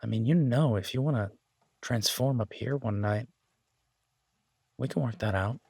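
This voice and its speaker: A man performs an easygoing chef and restaurant owner in an audio roleplay. His medium-pitched voice is husky, with casual, folksy, reassuring delivery. The sound is dry and intimate. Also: normal speed, darkish tone, no room sound. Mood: relaxed, affectionate.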